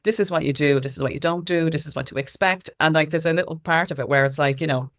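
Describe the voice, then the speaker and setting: A woman's voice: low-pitched, fast talker. An Irish woman giving candid, informal and emphatic answers as an interview guest, speaking as an activist. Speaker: guest, activist and music consultant.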